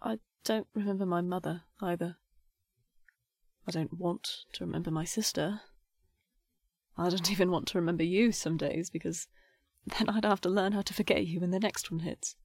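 A woman's voice: fairly low pitch, fairly even intonation, moderate pace, a little mellow, dry recording, studio quality. A young woman playing a disillusioned, grieving teenage priestess in an audio drama, speaking in a formal, articulate way. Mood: weary, grieving. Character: disillusioned nineteen-year-old priestess, defiant, grieving, bitter.

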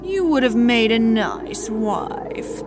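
A woman voicing a treacherous young man who talks with cold, mocking calm in a dramatized story. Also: on the high side, slow pace, over music, pitched below the performer's own voice. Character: treacherous young human man, smooth-talking.

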